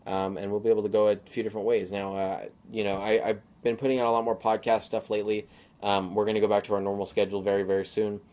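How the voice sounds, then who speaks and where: A young man hosting a solo podcast, rambling casually with lots of fillers and restarts, his voice a little hoarse from a sore throat. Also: lowish voice, darkish tone. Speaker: podcast host.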